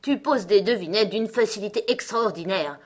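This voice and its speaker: Lady doing a pompous grumpy egg guy for a kids story, raised pitch, very condescending and emphatic. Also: normal speed, natural voice. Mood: irritated, disdainful. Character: pompous, irritable male talking egg. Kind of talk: storybook character acting.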